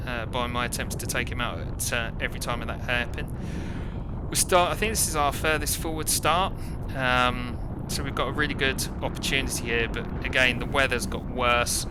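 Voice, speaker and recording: A British man giving informal first-person commentary on his own kart race, in a wry, self-deprecating manner. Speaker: kart racer narrating own race footage. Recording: clear engine rumble behind the voice.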